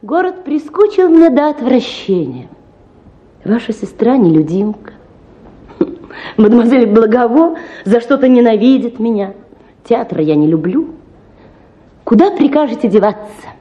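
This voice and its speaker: A woman's voice: a little high, very animated, measured pace, pauses often, lo-fi sound. A woman playing a spirited, dreamy young lady idealist in a theatrical radio drama, effusive and self-assured. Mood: bored, petulant.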